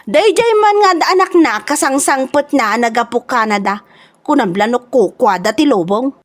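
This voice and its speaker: Woman doing nagging neighbor lady in a radio comedy, high voice, swoops all over, always indignant. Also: lively intonation, normal speed, lightly acted. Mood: complaining. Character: nagging, complaining woman neighbor, quick to grumble. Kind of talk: Ilocano comedy radio drama acting.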